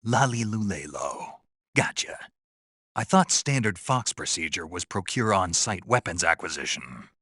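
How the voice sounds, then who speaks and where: A man playing a gruff, raspy special forces soldier in a video game, stoic and dryly naive, with a terse, deadpan delivery. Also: low-pitched, unhurried, dry recording, studio quality, full character voice. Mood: businesslike.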